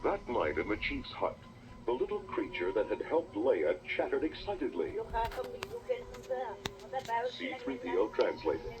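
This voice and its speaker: A man's voice: lowish voice, wildly swooping pitch, a little slow, long pauses. Male storybook narrator on muffled cassette. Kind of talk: dramatic scripted children's read-along narration. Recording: muffled distorted toy cassette playback. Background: loud dramatic music.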